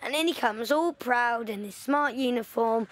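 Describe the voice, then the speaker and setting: A woman's voice: on the high side, normal speed, natural voice. A woman playing an earnest wartime schoolboy in an audio play, talking in a hesitant, thoughtful way. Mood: storytelling.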